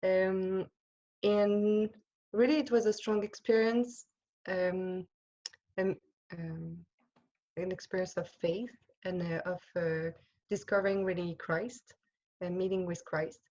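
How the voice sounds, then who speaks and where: A French-accented woman, a religious sister from France whose first language is French, giving an informal talk to an online group on a video call and often pausing to search for words. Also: mid-range voice, steady tone, warm tone, lo-fi sound. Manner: hesitant, searching for words, many pauses and restarts. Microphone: computer microphone on a video call.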